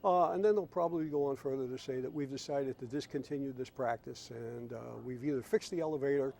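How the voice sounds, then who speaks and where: A middle-aged or older man giving an unscripted news interview in halting, wordy phrases. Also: medium pitch, gravelly, dark tone. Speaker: aviation whistleblower advocate, interviewee.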